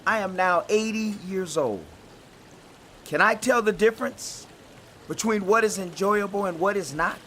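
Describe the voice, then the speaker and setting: A man's voice: sits high, measured pace, pushed and bright, a little hiss or hum. An actor playing a humble eighty-year-old man, pleading in rhetorical questions in a dramatized audio Bible. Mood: humble, weary. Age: 80s. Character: very old, humble, wealthy man declining a royal invitation.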